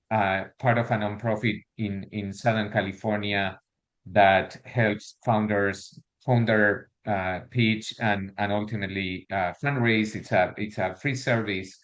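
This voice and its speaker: A man's voice: lowish voice, fairly bright tone. A middle-aged man from Argentina with an Argentine Spanish accent, giving an online workshop presentation over a video call, hesitant and full of fillers and restarts. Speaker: workshop speaker.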